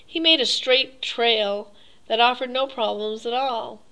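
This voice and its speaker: A silky aristocratic Cossack general, put on by a woman reading the tale, courteous and cruel at once. He stretches his words out with lingering politeness, his tone riding a little higher than the narration around him. It sounds like a storybook reading rather than a full performance. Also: steady tone, pushed and bright, rough recording. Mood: genial. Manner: silky, courteous, drawn-out phrasing. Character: suave, cruel aristocratic male Cossack hunter.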